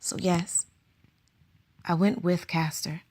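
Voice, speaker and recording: An actress playing a conflicted teacher of circus students, speaking softly and reflectively in an audio drama. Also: female voice, on the low side, steady tone, takes it slowly, breathy edge. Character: female circus school teacher, conflicted and wistful.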